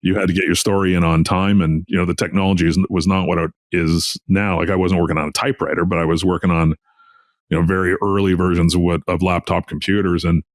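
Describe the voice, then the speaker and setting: Very deep-voiced middle-aged man, rambling casually. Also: flat delivery, fast talker, dry recording, clean studio sound. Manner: rambling, repeats "you know". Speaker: interview guest, veteran sports journalist. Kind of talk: casual conversational reminiscing.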